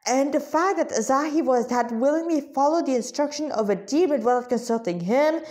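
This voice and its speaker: A woman's voice: high-pitched, animated, a little fast, darkish tone. A woman reading a story aloud with asides, often stumbling over words and correcting herself.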